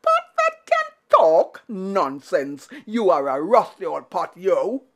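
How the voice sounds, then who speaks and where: A woman voicing a lazy, excitable, scornful male trickster in a folktale, stammering and repeating words when startled. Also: fairly low pitch, slow, heavily acted. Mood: indignant, startled. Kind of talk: animated oral folktale storytelling.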